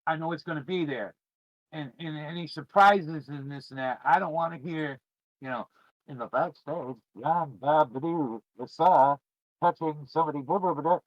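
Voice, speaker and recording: A middle-aged man hosts a casual stream, talking in a loose, rambling, emphatic way. His voice sits a little on the high side for a man. The recording is dry and somewhat dull, with a lo-fi edge. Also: moderate pace, no echo. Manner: rambling, informal, emphatic with swearing. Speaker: podcast host and streamer. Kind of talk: casual chat, reminiscing to viewers.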